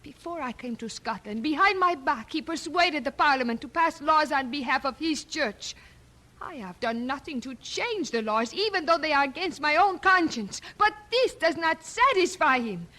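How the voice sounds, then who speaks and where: A woman's voice: sits high, sharp tone. An actress playing a proud, ambitious young queen in a historical audio drama, speaking in a formal, regal manner.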